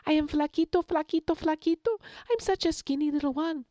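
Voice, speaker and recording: A woman's voice: high-pitched, a little fast, mellow, no room sound, clean studio sound, voice pushed up, lightly acted. A woman voicing a small cheerful boy rabbit in a told folktale, sing-song and sweet-talking. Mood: wheedling. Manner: sing-song, pleading and wheedling.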